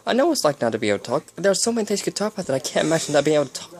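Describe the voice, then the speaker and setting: A reader voicing an excitable, chatty young female pony in a storybook, gushing and exclaiming. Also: medium pitch, normal speed, voice pushed up, natural voice. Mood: dismayed gushing.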